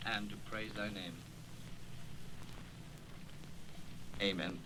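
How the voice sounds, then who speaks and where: An actor playing a gentle, pious and poor Victorian father in a radio drama, mild and earnest in manner. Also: fairly low pitch, steady tone, fairly quick. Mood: reverent.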